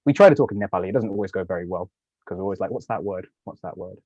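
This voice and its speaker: Male panel guest, lowish voice, firm, muffled dry line. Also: rapid-fire, darkish tone, no room sound. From Britain.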